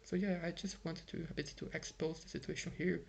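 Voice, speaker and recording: A man's voice: a little high, flat delivery, brisk, creaky, slight background noise. A young Brazilian man whose first language is Portuguese, speaking English with a Brazilian accent in a frustrated, rambling vlog full of fillers and restarts. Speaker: vlogger. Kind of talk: casual vlog monologue. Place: home in Ireland.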